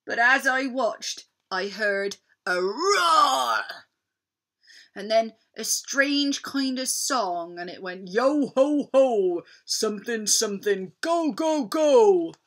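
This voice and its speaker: A woman puts on a storybook pirate captain, a blustery and easily tearful man. The delivery is loud, bright and lifted in pitch, full of hearty seafaring swagger. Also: animated, takes it slowly, exaggerated voice. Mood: sobbing, distraught. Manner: sobbing, hearty pirate talk. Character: weepy, blustering old pirate captain turned cook.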